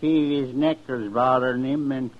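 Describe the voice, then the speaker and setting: An actor playing an easygoing, fatalistic drunken drifter in a 1950s western radio drama, with folksy, drawling talk. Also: male voice, medium pitch, steady tone, normal speed, lightly acted. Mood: lazy, offhand. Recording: muffled old radio recording.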